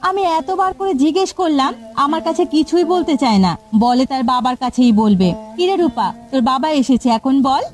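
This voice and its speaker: An exasperated village wife in a cartoon fairy tale. Her voice is fairly high, fussy and defensive, with light music underneath. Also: lively intonation, a little fast, a little mellow.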